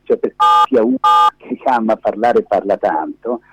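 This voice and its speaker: A middle-aged man speaks as a radio guest, with a husky, lowish voice that rises and falls in a lively way. He explains things emphatically and digresses often, in spontaneous conversation. The phone audio is dull and echoey. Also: moderate pace. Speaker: interview guest, media activist and lab director. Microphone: phone held against face.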